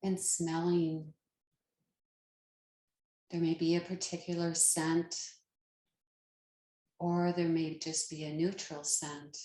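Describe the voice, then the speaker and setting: Slow-paced woman guiding meditation, roomy sound. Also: sits low. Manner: calm, gentle, unhurried with long pauses. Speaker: meditation guide, yoga teacher. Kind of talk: guided meditation narration.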